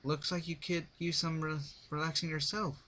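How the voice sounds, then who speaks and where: Man doing a high-pitched brash girl cartoon pony. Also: level tone, normal speed, bright, forceful tone. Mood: upbeat, teasing. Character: brash young female cartoon pony, casual and nagging.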